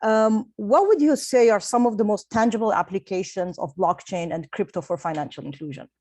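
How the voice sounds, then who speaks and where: A woman's voice: medium pitch, a little fast, darkish tone, no room sound. Woman moderating, dry close sound. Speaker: panel moderator. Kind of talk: moderating an online panel. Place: online video call.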